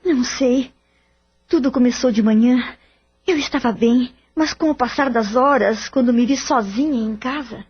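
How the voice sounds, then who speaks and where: An actress voicing a tormented wife who cries and pleads in pain, in a radio drama. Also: female voice, fairly high pitch, normal speed, husky. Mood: anxious. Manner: crying, pleading, distraught. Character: tormented wife in spiritual anguish. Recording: dull lo-fi audio.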